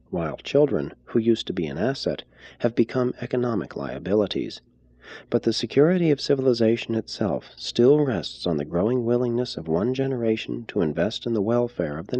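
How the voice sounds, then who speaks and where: Low-voiced man reading, expressive pitch. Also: breathy edge, dry recording, muffled recording. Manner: measured, formal, deliberate phrasing. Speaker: audiobook reader. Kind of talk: scripted narration, reading a religious-philosophical text aloud.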